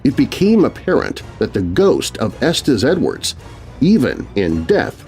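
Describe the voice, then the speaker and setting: A male narrator reads a scripted horror story for young listeners. His delivery is theatrical and full of suspense, with a mid-range voice that rises and falls widely over quiet background music. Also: medium pitch, wildly swooping pitch, a little slow. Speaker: narrator and host.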